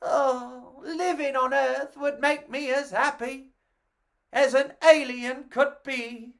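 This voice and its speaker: A woman voicing a high-pitched, friendly little alien. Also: fairly even intonation, takes it slowly, creaky, fairly bright tone, heavily acted. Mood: wistful longing. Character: friendly, eager, dreamy little alien puppet.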